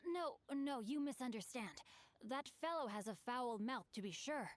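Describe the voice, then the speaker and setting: A woman voicing a young, bookish male swordsman in a video game, speaking in a formal, courteous and measured way. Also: a little high, animated, lightly acted. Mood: earnest. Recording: game audio with faint music behind.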